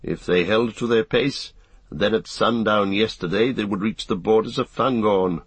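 A man voicing a grave, weary ranger leader in a fantasy audiobook, with brooding, measured, archaic phrasing. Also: lowish voice, breathy edge, dry recording. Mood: grave assessment.

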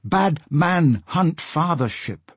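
A man voicing an earnest porpoise who speaks slow, halting broken English in a storybook reading. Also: a little high, lively intonation, slightly rough, no echo, voice pushed up, exaggerated voice.

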